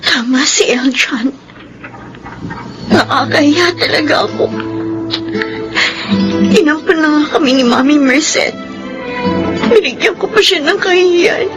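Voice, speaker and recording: A distressed young Filipino daughter in a radio drama. Her voice is very high, with a fragile, melodramatic delivery. Music plays clearly behind her. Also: rough recording. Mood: ashamed. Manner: tearful, stammering, melodramatic. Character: distressed pregnant adopted daughter, young woman, ashamed.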